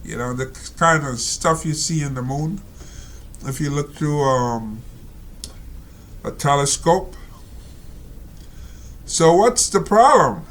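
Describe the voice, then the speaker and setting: A man reading out and commenting on a reader's letter, talking slowly and rambling with many fillers and asides. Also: a little high, lively intonation, fairly bright tone. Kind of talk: casual spoken commentary.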